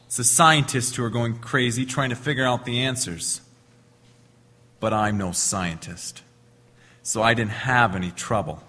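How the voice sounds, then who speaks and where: A raspy-edged police inspector played by a man presses his case in a reverberant hall. He is self-assured, wry and accusing, with the theatrical insistence of old-time radio crime acting. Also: mid-range voice, a little slow, sharp tone.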